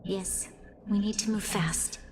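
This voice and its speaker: A woman's voice: mid-range voice, fairly even intonation, slow pace, darkish tone, a little hiss or hum, close to an everyday voice. A woman playing a composed, courteous and decisive young leader in a video game. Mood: composed, resolute.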